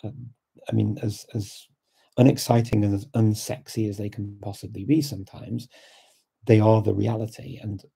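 Gravelly dark-voiced middle-aged man, webcam chat. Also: fairly low pitch, flat delivery, moderate pace. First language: Dutch. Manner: thoughtful, with frequent ums and repeated words. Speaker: language learning channel host. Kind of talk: casual live chat answering viewer questions. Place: small indoor room with a plain wall. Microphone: webcam at desk, close framing.

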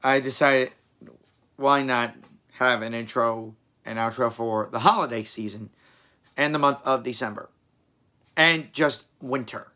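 Hoarse young man chatting casually. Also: medium pitch, a little slow, long pauses, bright, forceful tone. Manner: rambling, self-correcting, chatty. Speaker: reaction video host. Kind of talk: casual reaction commentary. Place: small room indoors.

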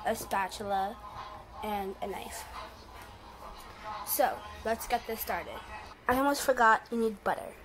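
A teenage girl giving a casual, hesitant cooking demo in a home kitchen, filmed on a phone. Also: on the high side. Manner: chatty, hesitant with fillers. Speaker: guest cook. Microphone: handheld phone camera. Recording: noisy phone recording.

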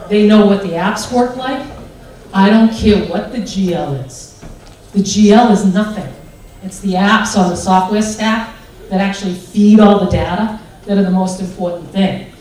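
Middle-aged American woman, big animated keynote delivery, roomy PA sound from far back. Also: medium pitch, moderate pace. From United States. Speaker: conference speaker. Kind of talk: lively conference talk. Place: large hotel conference hall. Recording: echoey hall, PA from far off.

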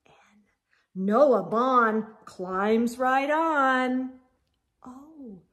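A middle-aged woman reading a picture book aloud to children in an animated, sing-song storyteller manner. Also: sits high. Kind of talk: expressive children's read-aloud. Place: indoor room lined with bookshelves.

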